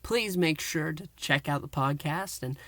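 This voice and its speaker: A man's voice: fairly high pitch, measured pace, sharp tone, no room sound. A man giving a casual solo review and recap, rambling with repeated fillers and restarts. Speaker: podcast reviewer.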